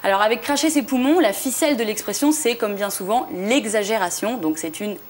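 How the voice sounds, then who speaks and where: Fast-talking French woman explaining words. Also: medium pitch. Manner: fast, explanatory, frequent "euh" and "alors", light laughs. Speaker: language columnist. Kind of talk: TV studio language segment, explanatory chat. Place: TV studio.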